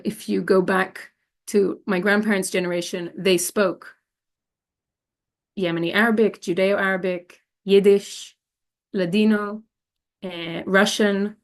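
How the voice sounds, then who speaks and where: A young woman from Israel giving a slide presentation, hesitant and full of fillers and restarts. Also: lowish voice.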